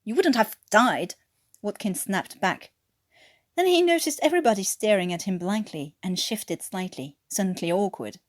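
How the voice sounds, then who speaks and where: A woman from France narrates fiction expressively, her pitch moving a lot around a medium register. Her French accent leans toward an attempted English one. The recording is close and dry. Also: expressive, normal speed, dry recording. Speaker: amateur podfic narrator. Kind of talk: expressive scripted story narration.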